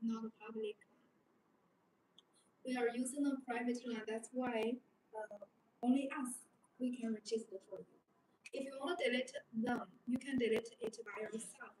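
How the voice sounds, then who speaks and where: High-voiced non-native woman presenting, roomy sound. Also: normal speed, a little mellow. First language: not English. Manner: simple, choppy non-native phrasing. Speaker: live-stream sales presenter. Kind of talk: live product demonstration, casual sales talk. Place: small showroom studio set, indoors. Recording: roomy, distant mic with background noise.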